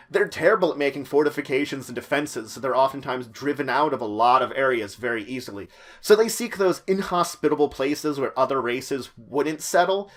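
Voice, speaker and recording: A man narrating a conversational explainer as a host, with theatrical emphasis, dramatic pauses and chatty asides. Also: mid-range voice. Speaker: host of tabletop roleplaying guide videos.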